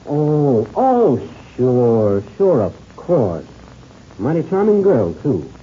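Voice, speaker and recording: An actor playing a hearty, excitable adult pilot in an old-time radio adventure serial. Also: male voice, mid-range voice, extremely slow, full character voice. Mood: pleased surprise. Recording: muffled, hissy old radio recording.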